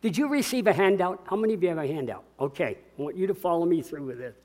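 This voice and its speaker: Booming older pastor preaching a sermon. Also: male voice, expressive, a little mellow. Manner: booming, emphatic, slows on key words. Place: church.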